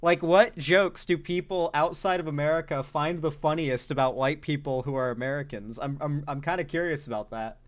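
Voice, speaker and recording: A man hosting a casual commentary channel, reacting to a video in a conversational way with excited outbursts. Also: fairly high pitch, moderate pace, few pauses, a little hiss or hum. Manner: conversational, exclaims in excited bursts.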